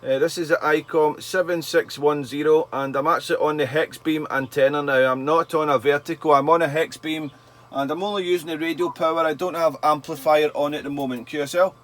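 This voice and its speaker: A man's voice: fairly high pitch, steady tone, brisk, a bit bright. A man chatting casually as a radio operator, often repeating his phrases for emphasis. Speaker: radio operator and YouTube channel host. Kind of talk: casual ham radio chat.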